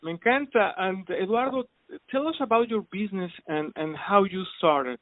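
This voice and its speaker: A man's voice: high-pitched, moderate pace, no room sound. A man hosting a podcast, welcoming his guest and asking open questions. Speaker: podcast host. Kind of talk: podcast interview. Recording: dry phone-like line.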